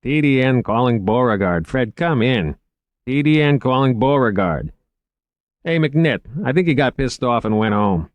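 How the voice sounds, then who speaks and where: A man's voice: mid-range voice, animated, normal speed, dry recording, pitched above the performer's own voice, natural voice. A man voicing a brusque, grumbling security dispatcher in an audiobook, blunt and casual over the radio. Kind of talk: audiobook character acting.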